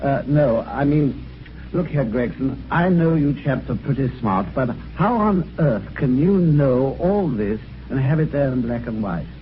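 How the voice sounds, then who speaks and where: A man's voice: medium pitch, expressive, a little slow, slight background noise, natural voice. An actor playing a well-spoken British amateur sleuth, telling his story conversationally in a radio mystery. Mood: startled. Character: genteel British amateur sleuth recounting his eerie adventure. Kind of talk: 1950s radio mystery acting, first-person narration. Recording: dull old radio recording, music behind.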